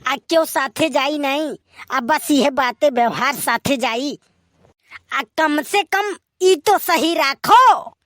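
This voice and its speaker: Someone voicing a preachy grumbly young village guy, awadhi cartoon, really high voice, slow n dry sound. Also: fairly bright tone, no room sound. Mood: grumbling. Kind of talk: Awadhi comedy cartoon dialogue.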